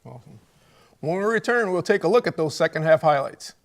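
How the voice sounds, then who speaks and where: A man's voice: a little high, lively intonation, fairly quick. A man with an American accent hosting a casual sports interview show, with some noise in the recording.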